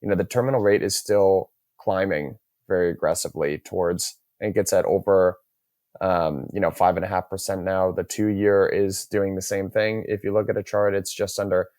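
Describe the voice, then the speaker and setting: A man hosting a podcast, talking through market ideas in a measured, hedging way. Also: low-pitched, fairly even intonation, darkish tone, no echo, clean studio sound. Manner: measured, hedging, thinks aloud. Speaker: podcast host. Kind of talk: casual market chat.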